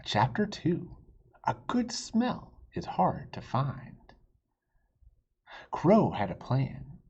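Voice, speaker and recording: A husky-voiced man reads a picture book aloud to children, slow and unhurried, like a teacher. His pitch swings widely as he stops to ask the listeners questions. The recording is dull and lo-fi. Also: huge pitch swings, darkish tone. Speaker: read-aloud storyteller.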